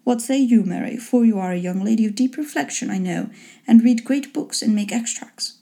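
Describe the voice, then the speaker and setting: Woman acting a father, wry, teasing, measured, mock-formal, mellow, storybook reading. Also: fairly high pitch, vocal fry. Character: dry, teasing, unruffled father of grown daughters.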